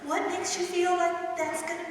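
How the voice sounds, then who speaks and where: A woman playing a detective's loyal, concerned lady companion in an old-time radio mystery, earnest and deliberate. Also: extremely high pitch, little pitch movement, slight background noise. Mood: curious. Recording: echoey hall, far from the mic.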